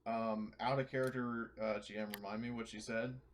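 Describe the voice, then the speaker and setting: A man playing a tabletop roleplaying game, talking casually in a halting, conversational way. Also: mid-range voice, flat delivery, crowd noise.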